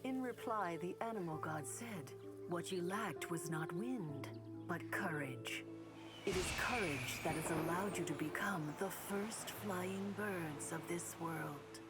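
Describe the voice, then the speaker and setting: A woman playing a solemn, measured storyteller reading an old fable in a video game. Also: lowish voice, over loud music. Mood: solemn storytelling.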